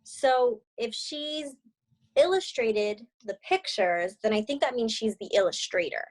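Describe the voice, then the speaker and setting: A young woman reading a children's storybook aloud on a video call, expressive and encouraging as she chats about it. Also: a little high, a little slow. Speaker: read-aloud co-host, story reader.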